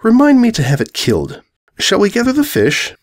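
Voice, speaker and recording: A man's voice: sits high, huge pitch swings, fairly quick, voice pushed up, heavily acted. A regal pony mare from a storybook, voiced by a man. She is solemn and stately, choosing formal words thoughtfully. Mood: thoughtful command.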